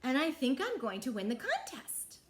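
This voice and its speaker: A little storybook boy, played by a woman reading aloud, proud and eager. The voice sits fairly high and moves freely up and down, with a simple, childlike openness. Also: huge pitch swings, normal speed, background noise. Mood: excited, proud.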